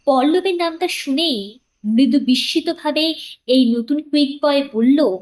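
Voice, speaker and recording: High-voiced woman narrating. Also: measured pace, a little mellow. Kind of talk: scripted dramatic story narration.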